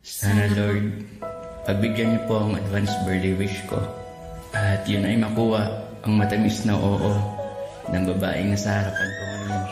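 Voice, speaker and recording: Guy playing sincere lovestruck young man, lowish voice, sentimental drama delivery, music behind. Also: little pitch movement, a little slow, pauses often. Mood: hopeful, pleading. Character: earnest, devoted young man proposing to his love. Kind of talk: romantic audio drama acting.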